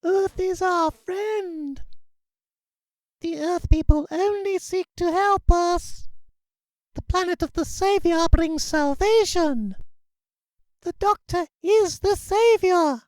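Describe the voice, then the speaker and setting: A man voicing a chorus of small devoted alien creatures who chant their slogans in unison, shrill and fervent. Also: takes it slowly, a little mellow, no room sound.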